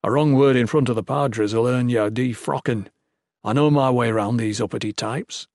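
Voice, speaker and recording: A man acts out a scruffy old seafarer turned priest for an audiobook. He talks in rough, unschooled, folksy phrases and bosses people about. The sound is close and dry. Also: mid-range voice, normal speed, a little mellow, no echo, close to an everyday voice. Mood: gruff, commanding. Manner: uneducated folksy grammar, bossy. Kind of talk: audiobook character voice.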